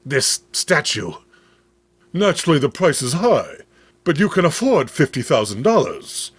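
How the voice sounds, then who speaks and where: A man voicing a sinister seller of a magical statue, speaking in a deliberate, ominous way for a horror comic. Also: a little high, huge pitch swings, breathy edge, heavily acted. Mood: sly, ominous.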